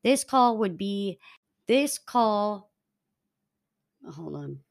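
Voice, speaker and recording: A woman's voice: medium pitch, lively intonation, drawn-out words, gravelly. A young woman co-hosting a casual true-crime podcast, reading out case notes and stumbling over them now and then. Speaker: podcast co-host telling the case.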